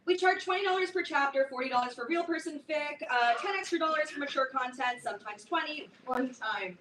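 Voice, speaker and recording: A woman playing an imperious, high-voiced teenage club queen. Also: brisk, lightly acted. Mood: bossy, testing. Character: domineering, self-important teenage girl, founder of fanfiction club. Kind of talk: staged play reading before an audience. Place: live reading in a roomy indoor space. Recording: distant echoey room, clear background noise.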